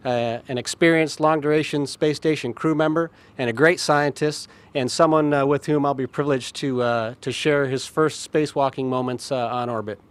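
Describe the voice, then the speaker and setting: A man's voice: mid-range voice, steady tone, moderate pace, hardly any pauses, clear, resonant tone. A man who leads the spacewalks on the mission, giving a polite press statement and hesitating often. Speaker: astronaut, spacewalk lead.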